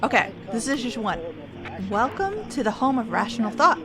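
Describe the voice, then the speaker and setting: A woman streaming a puzzle game, chatting casually, thinking out loud and reading the game's text aloud. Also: fairly high pitch, sing-song, a little fast. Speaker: gaming streamer. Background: game music.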